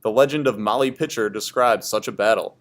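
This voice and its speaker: A man's voice: lowish voice, a little fast. A man reading scripted educational history narration aloud for a class.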